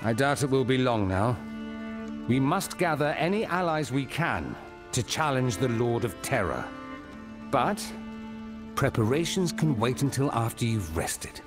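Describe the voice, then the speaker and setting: A grave male mentor of a dark fantasy game. His speech is formal and measured, with a pitch that moves widely. Music plays clearly behind him. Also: sing-song. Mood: grave, resolute. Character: grave, weary male mentor advising a demon hunter. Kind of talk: dramatic video game dialogue.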